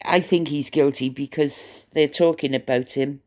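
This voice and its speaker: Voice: medium pitch, steady tone, moderate pace, a little mellow. A vlogger with a Welsh accent giving rambling, gossipy commentary to camera, chatty and stop-start, often repeating words while thinking aloud. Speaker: channel host.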